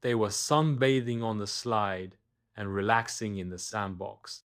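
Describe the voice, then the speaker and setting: A man narrating a scripted children's bedtime story, expressive and deliberate, with dramatic pauses. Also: fairly low pitch, clean tone.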